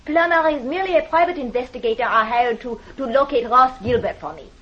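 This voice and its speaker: A woman's voice: high-pitched, lively intonation, brisk, slight background noise. An actress playing a haughty, fiery French woman in vintage radio drama, with a French accent and imperious, formal phrasing. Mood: cold, dismissive. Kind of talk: 1940s-50s radio drama acting. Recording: dull vintage radio recording.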